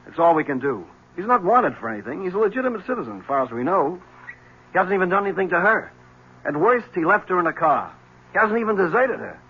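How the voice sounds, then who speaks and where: Tired police lieutenant, wry and flat-toned, muffled old radio. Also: male voice, high-pitched, fast, husky, exaggerated voice. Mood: matter-of-fact. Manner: dry, wry, matter-of-fact. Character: weary male police lieutenant at his desk. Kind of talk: 1970s radio drama acting.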